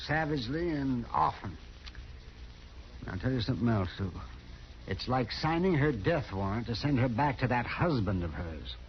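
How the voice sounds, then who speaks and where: An actor playing a caring but blunt frontier town doctor, emphatic, in a 1950s radio western. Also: male voice, medium pitch, expressive, moderate pace, natural voice. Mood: grave, indignant. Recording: muffled vintage radio recording, faint noise.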